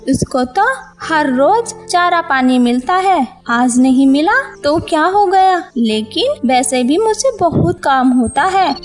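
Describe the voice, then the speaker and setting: A woman voicing a poor farmer's discontented, scolding wife in a moral story cartoon. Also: high-pitched. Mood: annoyed. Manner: complaining, scolding.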